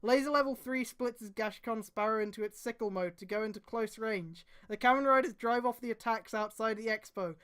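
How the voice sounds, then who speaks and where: A woman's voice: a little high, fairly quick, hardly any pauses, fairly bright tone, smooth. A woman streamer reading aloud and adding exasperated, sweary mocking commentary.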